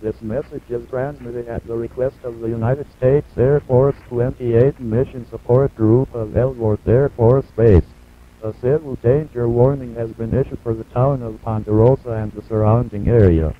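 Man as automated emergency alert announcer, flat, formal, measured, muffled lo-fi VHS broadcast sound. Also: medium pitch, close to an everyday voice. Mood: impersonal, grave. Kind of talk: scripted emergency broadcast warning.

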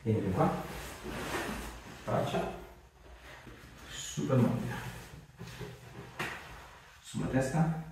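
A man's voice: mid-range voice, lively intonation, drawn-out words, creaky, pauses often. A man in his thirties, a chiropractor, giving short, terse instructions to a patient during treatment. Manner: terse, directive. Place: small bare clinic treatment room. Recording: echoey room, distant mic, loud noise.

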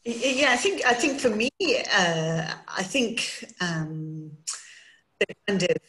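A woman in her fifties, speaking thoughtfully and haltingly as a panelist over a video call from home. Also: mid-range voice, expressive, a bit bright, low-quality recording. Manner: thoughtful, halting, restarts words.

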